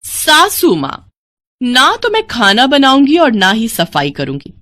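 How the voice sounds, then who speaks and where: A woman's voice: sits high, wildly swooping pitch, slow pace, fairly bright tone, no room sound, studio quality, voice pushed up, exaggerated voice. A woman voicing an arrogant, defiant young modern bride with a sassy manner in a family cartoon. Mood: bossy, defiant. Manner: haughty, sassy. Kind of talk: Hindi family cartoon dialogue.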